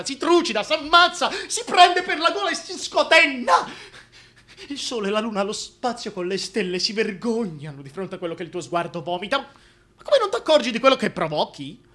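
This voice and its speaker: A man's voice: very high voice, very animated, normal speed, bright, forceful tone, exaggerated voice. An actor playing a crude, scheming, wheedling king in a theatrical comic monologue, with a histrionic delivery that swings from murmurs to outbursts. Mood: grandiose, ardent. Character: crude, scheming, wheedling male tyrant king wooing a woman. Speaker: stage actor in a solo radio theatre piece.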